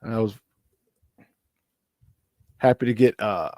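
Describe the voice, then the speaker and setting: Slightly hoarse, animated sports host. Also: male voice, fairly low pitch, slow, dry recording, studio quality. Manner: animated storytelling with repeated words for emphasis. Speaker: sports talk show host. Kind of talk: casual morning show chat.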